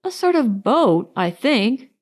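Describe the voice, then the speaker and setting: A woman acts out a cheerful little storybook bear, male and simple-minded. He talks slowly and eagerly in a high voice, bumbling over his words with innocent good nature. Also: very animated, darkish tone, full character voice. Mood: eager, muddled.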